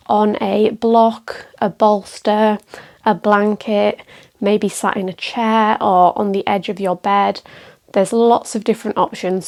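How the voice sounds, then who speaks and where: A woman leads a guided meditation at a slow, unhurried pace. Her voice sits in a middle register with a noticeable creak at the edges. She instructs gently and calmly, leaving space between phrases. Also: medium pitch. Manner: calm, gentle, measured with pauses. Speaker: meditation teacher.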